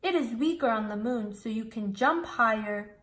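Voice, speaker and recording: A woman's voice: fairly high pitch, animated, normal speed, fairly bright tone. A woman voicing a friendly male rabbit museum guide in a storybook reading, explaining things patiently.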